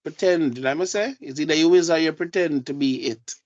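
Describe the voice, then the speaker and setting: A man's voice: on the high side, brisk, muffled recording. Jamaican man telling a street story. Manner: storytelling, heavy patois, repeats phrases. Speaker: podcast co-host. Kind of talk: casual chat.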